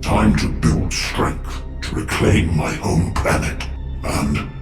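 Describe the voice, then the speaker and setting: An actor voicing a menacing, bitter alien warlord in a video game, with slow, grandiose, gravelly delivery. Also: male voice. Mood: cold, vengeful. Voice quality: gravelly, very deep. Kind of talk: dramatic video game villain monologue. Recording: echoing, with music underneath.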